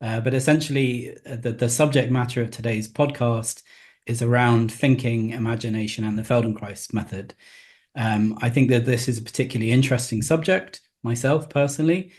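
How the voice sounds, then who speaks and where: A man's voice: mid-range voice, fairly even intonation, brisk, darkish tone. A man hosting a podcast, introducing his guest in a loose, hesitant way with many false starts. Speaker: podcast host.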